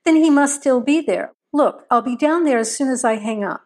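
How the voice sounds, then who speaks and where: A woman voicing a furious, defiant wife in an audiobook, sharp and challenging as she fights back. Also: high-pitched, moderate pace, voice pushed up. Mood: angry, alarmed.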